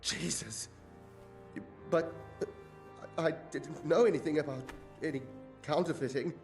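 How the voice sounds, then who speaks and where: An actor playing a nervous, evasive monk in a video game, defensive and prone to stammering. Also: male voice, a little high, measured pace, darkish tone, faint music behind. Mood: frightened. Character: nervous, evasive male monk who caves under threat.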